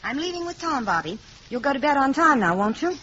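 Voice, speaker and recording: An actress playing a polite, protective mother with a composed, gently firm way of talking in a 1950s radio play. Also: sits high, sing-song, brisk, heavily acted. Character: polite, protective young mother living in a boarding house. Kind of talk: 1950s radio drama acting. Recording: dull, noisy old radio recording.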